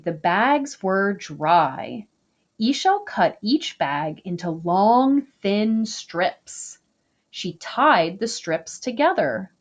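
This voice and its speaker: A woman reading a children's picture book aloud, slow, gentle and expressive. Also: mid-range voice. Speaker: storybook reader.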